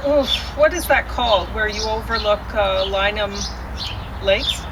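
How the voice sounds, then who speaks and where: A woman hosting a casual chat, speaking calmly and matter-of-factly. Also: medium pitch, measured pace, background noise. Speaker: vlog host.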